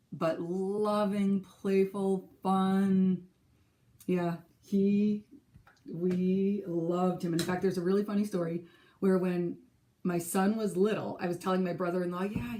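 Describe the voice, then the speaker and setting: A woman's voice: fairly low pitch, mellow. A woman in her forties with a Minnesotan accent, a teacher chatting casually in a rambling, self-correcting way for her vlog. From California. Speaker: second grade teacher and stitching vlogger.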